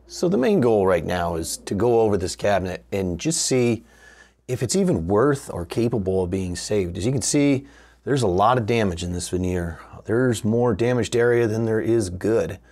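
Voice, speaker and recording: A man's voice: fairly low pitch, lively intonation, moderate pace, darkish tone. A man hosting a how-to video, narrating casually and musing aloud with rhetorical questions. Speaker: host of a radio restoration how-to video. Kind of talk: casual explanatory DIY narration.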